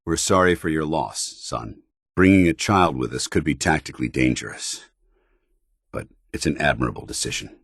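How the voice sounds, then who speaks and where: A super deep american paladin soldier for a game, husky, stern n formal, dry close sound. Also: male voice, lively intonation, moderate pace, fairly bright tone, dry recording, heavily acted. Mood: firm, measured. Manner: clipped, formal, authoritative military diction. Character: stern, principled military paladin, loyal soldier of a brotherhood. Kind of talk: video game companion dialogue.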